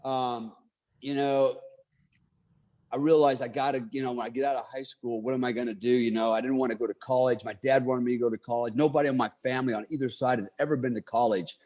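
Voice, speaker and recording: A man in his late fifties recounts his life in an easygoing, unscripted way. He rambles, circles back and leans on fillers as he goes. His voice sits at an ordinary middle pitch. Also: fairly even intonation, fast talker, darkish tone. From United States. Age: 50s. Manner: rambling, many fillers and restarts. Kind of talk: casual autobiographical monologue.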